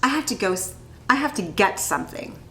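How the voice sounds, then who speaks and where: Woman voicing an animated, sheepish little storybook boy. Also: medium pitch, a little fast. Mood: sheepish, stalling. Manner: hesitant, stalling, dramatic. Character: young boy Reggie, evasive, sheepish, playful storyteller. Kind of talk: storybook character voice. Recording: echoey room.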